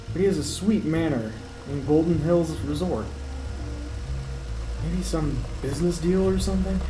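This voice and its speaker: A man's voice: mid-range voice, dark tone, natural voice. A man playing an eager, self-pitying small-town police detective who talks to himself in an amateur noir radio drama. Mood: determined. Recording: roomy echo, music behind.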